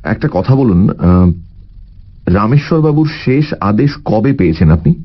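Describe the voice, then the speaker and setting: A man playing a composed, probing private detective in an audio story, speaking in a measured, analytical way. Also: fairly low pitch, big pitch swings, dull audio.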